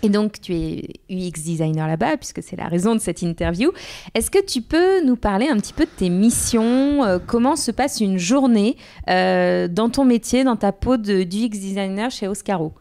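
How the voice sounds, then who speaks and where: Woman podcast host, measured. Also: mid-range voice, expressive, warm tone. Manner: clear, measured, guiding. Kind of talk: scripted intro, then interview questions.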